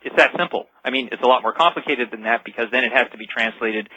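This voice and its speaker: A man's voice: medium pitch, fast, sharp tone, no echo. A man speaking as an expert radio guest, explaining technology informally in dull, lo-fi interview audio.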